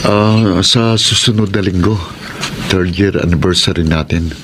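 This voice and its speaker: An actor playing a brooding, evasive young husband in a Filipino radio drama. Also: male voice, deep voice, unhurried, background noise. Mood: hesitant, concerned. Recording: radio drama under loud music.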